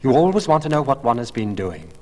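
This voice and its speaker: A man reading as a moody, irritable young gentleman, polished and evasive, on an old LP. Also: medium pitch, big pitch swings, brisk, pitched above the performer's own voice. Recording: old LP, long reverb, faint noise.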